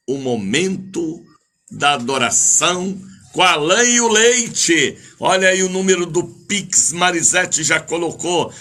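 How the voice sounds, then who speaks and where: An older man leads a live radio church service as a preacher. His voice is sharp and forceful, set a little high, and his delivery is slow and declamatory. He repeats phrases and stretches out names as he greets and exhorts listeners. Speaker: evangelical bishop and preacher.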